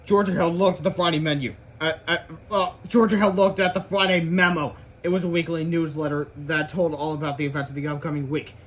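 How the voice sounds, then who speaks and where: A man's voice: a little high, fairly even intonation, brisk, few pauses, fairly bright tone. A man narrating a children's book read-aloud, stumbling over words now and then.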